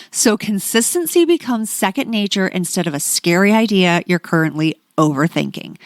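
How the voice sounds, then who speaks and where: A woman's voice: lowish voice, no room sound. Enthusiastic woman podcast host, dry close sound. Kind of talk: conversational podcast hosting with sponsor read.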